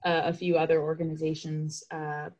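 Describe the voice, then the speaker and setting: Female meeting coordinator, slightly low register, hesitant phrasing, informal video-call presentation. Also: completely flat delivery, darkish tone. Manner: hesitant, halting delivery with repeated words. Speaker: advocacy coordinator leading a meeting. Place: indoors on a group video call. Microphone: computer video call.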